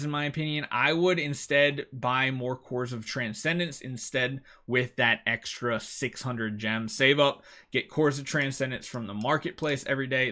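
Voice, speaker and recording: A man's voice: mid-range voice, pushed and bright. A man hosting a gaming video, giving casual, emphatic gameplay advice and repeating key words to drive points home.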